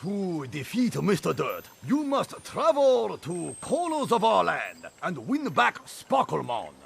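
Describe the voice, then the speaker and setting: An excitable little game mascot, very high-pitched and swooping wildly. He proclaims everything pompously in slow, stilted English, with a creaky edge. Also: heavily acted. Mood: grand explaining. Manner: bombastic, over-enthusiastic, stilted phrasing. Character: excitable Japanese mascot creature guide, pompously dramatic, broken English. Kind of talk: video game cartoon voice acting.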